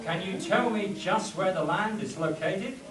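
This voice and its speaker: A man's voice: sits high, a little slow. A man playing an earnest, slightly stumbling adventurer hero in a spoof radio play. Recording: echoey hall with crowd, distant mic.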